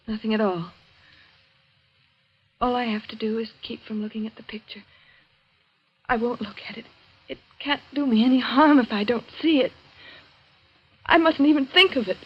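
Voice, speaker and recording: An actress playing a fragile, terrified young woman near madness in a radio suspense play, repeating herself in halting, pleading words. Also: on the high side, husky, muffled recording. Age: young adult. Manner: halting, repeating, panicky self-talk. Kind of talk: 1940s radio suspense acting. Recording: old radio drama recording.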